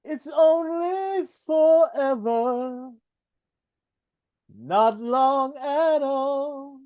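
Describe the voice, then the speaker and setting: A singer draws out a slow, soft opening verse. The sound is dry and close, with no room around it. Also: high voice, no room sound, highs cut off. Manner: drawn-out singing.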